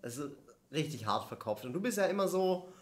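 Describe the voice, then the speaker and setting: A man's voice: on the high side, sing-song, brisk. A man playing a hesitant, admiring amateur jogger in an improvised comedy radio play, rambling and often starting over. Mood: hesitant, confiding. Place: dark curtained theatre stage. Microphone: stand microphone. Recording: roomy stage sound.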